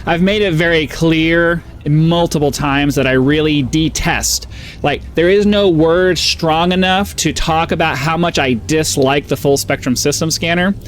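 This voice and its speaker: A man's voice: a little high, unhurried. A man with a somewhat nasal voice, casually rambling through gameplay commentary and getting sidetracked, full of fillers. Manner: rambling, easily distracted, frequent fillers. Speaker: gaming channel host.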